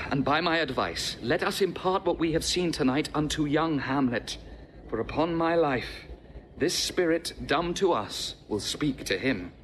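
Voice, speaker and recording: An actor playing an earnest, scholarly watchman in classical drama, declaiming in measured verse. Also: mid-range voice, fairly bright tone, a little hiss or hum. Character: earnest scholarly night watchman, a prince's loyal friend. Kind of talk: classical stage drama acting.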